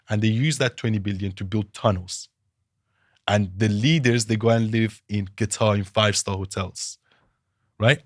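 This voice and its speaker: A man's voice: on the low side, no room sound, clean studio sound. A man from Iran, a political analyst guest, arguing his views emphatically with rhetorical questions in a podcast interview.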